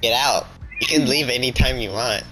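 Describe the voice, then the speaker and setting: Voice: mid-range voice, normal speed, rough recording. Taunting gamer with music behind. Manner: taunting, mock-innocent. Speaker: gaming video creator. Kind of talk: casual teasing game commentary.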